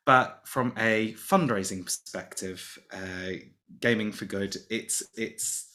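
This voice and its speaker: A man's voice: fairly low pitch, slow pace, bright, forceful tone. A man speaking as an interview guest, making emphatic, opinionated points and often repeating words as he thinks. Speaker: guest, charity manager. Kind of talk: casual interview.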